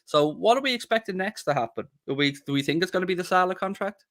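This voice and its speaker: A man's voice: sits high, lively intonation, fast, a bit bright. A young man hosting a casual podcast, talking bluntly and defiantly and swearing freely. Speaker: podcast host.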